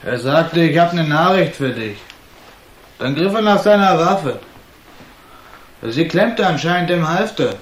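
A big prizefighter in a radio drama, his voice a little high for a man. He answers carefully and briefly, guarded, in an echoey room. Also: lively intonation, measured pace, long pauses. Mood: guarded, matter-of-fact. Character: big male professional boxer answering plainly, guarded. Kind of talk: German radio drama acting.

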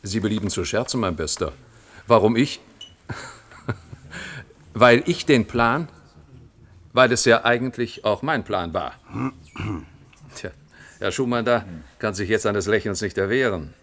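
An actor playing a smug, scheming middle-aged general in a German science-fiction radio drama, with an oratorical, condescending manner. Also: male voice, fairly low pitch, slow pace, long pauses, a bit bright, heavily acted. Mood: smug, calculating.

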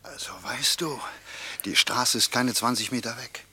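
An actor playing a wary, irritable escaped war prisoner in a radio play, rough-voiced, colloquial and sarcastic. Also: male voice, medium pitch, unhurried, sharp tone, full character voice. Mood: sarcastic, exasperated.

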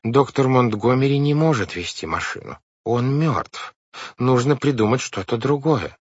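A man voicing a fretful, hesitant gentleman from a storybook, with a fussy, worried manner. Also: mid-range voice, expressive, measured pace, slightly rough, no room sound, voice pushed up. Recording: dull, lo-fi, dry recording.

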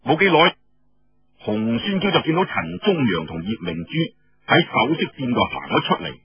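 A man's voice: on the high side, big pitch swings, moderate pace, gravelly, breathy edge. A man telling a story in colloquial Cantonese, in a dramatic audiobook style with conversational asides. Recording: dull, echoey radio-style recording, faint music.

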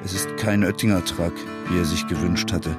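An actor playing a weary, defiant working-class drifter in a naturalistic radio play, speaking in terse phrases. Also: male voice, low voice, fairly even intonation, moderate pace, over music, close to an everyday voice. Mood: weary, resigned.

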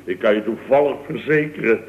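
A man's voice: mid-range voice, a little fast, a little hiss or hum, lightly acted. Muffled, band-limited old radio sound carries an actor as a middle-aged male boss in a comedy. He is jovial and blustering. He banters sarcastically and exclaims often. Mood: mocking. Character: blustering, jovial, mocking boss of a building firm.

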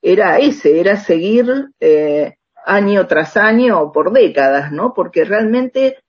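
A woman's voice: mid-range voice, animated, takes it slowly, slightly rough, dull audio. An Argentine woman speaking reflectively as an interviewed author and researcher, often checking in with short rhetorical tags.

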